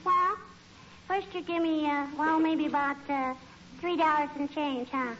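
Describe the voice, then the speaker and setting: A woman's voice: sits high, steady tone, measured pace. A woman playing a cheeky, persistent little neighbourhood girl in 1940s radio comedy, chatty and childish with repeated little verbal tags. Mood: eager, wheedling. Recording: dull, noisy 1940s radio recording.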